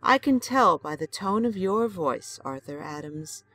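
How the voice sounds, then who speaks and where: An actress playing an elderly grandmother and wife, gentle and earnest, in an audio drama. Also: lowish voice, big pitch swings, measured pace, heavily acted. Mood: troubled. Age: older. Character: elderly grandmother, lonely and worried.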